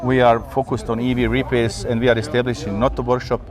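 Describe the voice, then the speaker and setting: Male engineer, mid pitch, earnest, fragmented answers outdoors. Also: little pitch movement, fast, crowd noise. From Croatia. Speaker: engineer, repair company guest. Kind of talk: casual interview answers. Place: outdoor event stand.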